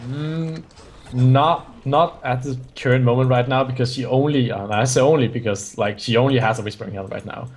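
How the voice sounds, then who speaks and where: A man's voice: medium pitch, moderate pace. A man, not a native English speaker, giving strategy analysis as color commentator, often restarting his phrases.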